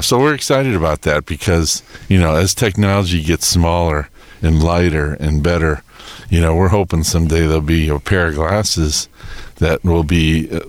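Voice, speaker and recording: A man explains technology updates in a relaxed conversational radio style. His voice is very low, and the sound is dry and close. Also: normal speed, dry recording. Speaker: low vision technology expert on a radio segment. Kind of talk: casual explanatory talk.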